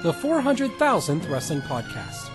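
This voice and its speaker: A man's voice: a little high, huge pitch swings, unhurried, music in the background. A man co-hosting a comedy podcast, reading reviews aloud and joking around with lots of laughter and swearing. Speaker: podcast co-host.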